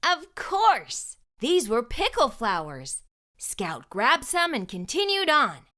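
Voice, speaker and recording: Woman, children's storybook reader, high-pitched, lively swooping intonation, deliberate emphatic phrasing. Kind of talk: read-aloud storytelling for young children.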